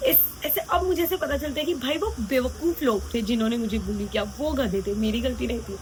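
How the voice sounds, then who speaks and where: A young woman chats to her camera in a loose, rambling way, laughing at herself as she goes. Her voice sits high, and a clear layer of background noise runs under everything she says. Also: rough recording. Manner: chatty, rambling, self-mocking. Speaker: vlogger. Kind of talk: casual vlog chat to camera.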